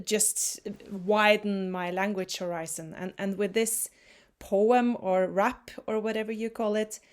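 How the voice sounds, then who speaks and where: Woman, from Norway, Norwegian native speaker, folk musician, halting word searches, casual interview talk. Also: mid-range voice, clear, resonant tone. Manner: searches for words, many restarts and fillers.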